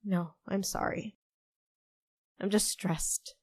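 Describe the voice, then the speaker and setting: A woman's voice: medium pitch, fairly even intonation, slow pace, a little mellow, husky, dry recording, clean studio sound. A woman playing a stressed, stubborn barista in an audio drama, with a wry, defensive, casual way of talking. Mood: wistful. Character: stressed, stubborn half-human young-looking woman barista, grieving, wry.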